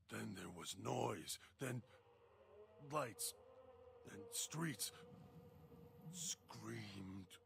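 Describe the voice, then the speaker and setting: A man's voice: fairly low pitch, gravelly, a bit bright, slight background noise, exaggerated voice. A man voicing a feral, broken-minded servant who calls himself Dog and talks of himself in broken third person. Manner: halting, childlike, fearful of an inner voice.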